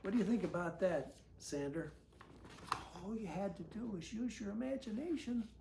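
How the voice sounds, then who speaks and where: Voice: mid-range voice, normal speed, creaky, roomy sound, noisy background. A storyteller reading a picture book aloud to children, breaking off for folksy, conversational asides. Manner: folksy, conversational commentary between read lines.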